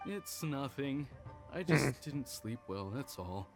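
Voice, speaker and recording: An actor playing an anxious, downcast, polite clerk in an anime video game, hesitant and apologetic. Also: male voice, medium pitch, lively intonation, slow. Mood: glum, uneasy. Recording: music playing behind.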